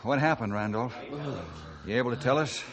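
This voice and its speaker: An actor as an earnest American forest ranger. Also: male voice, medium pitch, a little fast, crowd noise, close to an everyday voice. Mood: earnest, kindly. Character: Bill, steady, forgiving male forest ranger in charge. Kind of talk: 1950s radio adventure acting.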